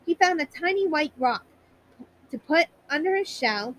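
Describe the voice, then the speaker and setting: A woman storyteller narrating a children's bedtime story in a high-pitched voice. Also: animated, normal speed, a bit bright, slight background noise.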